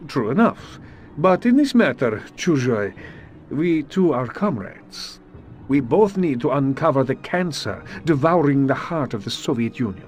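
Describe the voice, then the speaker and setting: A man's voice: medium pitch, big pitch swings, measured pace, soft background music. A man playing a guarded, wry Soviet agent in a video game, speaking with a Russian accent. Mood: guarded, measured.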